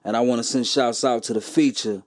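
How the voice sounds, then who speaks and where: A man presents music in a casual way, handing out dedications and shout-outs. His voice sits at an average male pitch. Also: steady tone, brisk.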